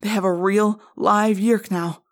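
A woman voicing a fierce teenage boy leader who speaks fast and intensely, his voice climbing higher. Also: fairly even intonation, close to an everyday voice. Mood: hopeful.

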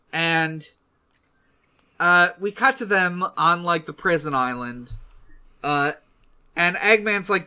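A podcast co-host casually recapping a story among friends, in a halting way with drawn-out pauses. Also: medium pitch, bright, forceful tone, clean tone.

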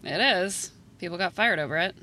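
A woman's voice: fairly low pitch, expressive, moderate pace, bright, forceful tone. A woman playing a jaded, blunt schoolteacher with a dry, sardonic manner, in a naturalistic audio drama. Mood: cynical. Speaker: actor in an audio play.

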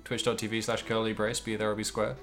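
A man's voice: lowish voice, completely flat delivery, fast, fairly bright tone, soft background music. A man co-hosting a podcast, chatting casually about video games. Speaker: podcast co-host.

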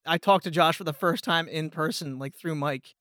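A man's voice: fairly high pitch, normal speed, fairly bright tone, no room sound, clean studio sound. An American man from Pennsylvania chatting casually as a guest voice actor, rambling and self-deprecating. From Pennsylvania, United States. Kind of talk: casual chat.

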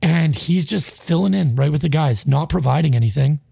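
A man's voice: fairly high pitch, moderate pace, gravelly, warm tone, husky, no echo. A man co-hosting a casual sports podcast, ranting bluntly and with frustration, swearing freely and sounding a bit stuffy. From Canada. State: stuffy, sinusy. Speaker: podcast co-host.

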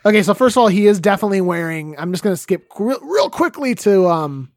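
A man's voice: high-pitched, lively intonation, quick pace, darkish tone, dry recording. A man in his late twenties co-hosting a casual chat, thinking aloud and rambling with restarts as he brainstorms. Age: late 20s.

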